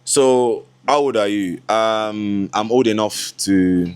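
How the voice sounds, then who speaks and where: A man's voice: fairly low pitch, takes it slowly, clean tone. A man in his twenties with a Nigerian accent talks casually to camera as a content creator, chatty and laughing, with Yoruba asides. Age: 20s. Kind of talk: casual vlog talk to camera.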